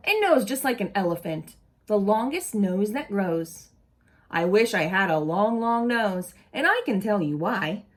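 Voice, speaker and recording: A woman reading a picture book aloud expressively to young children, pausing to ask them simple questions. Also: mid-range voice, animated.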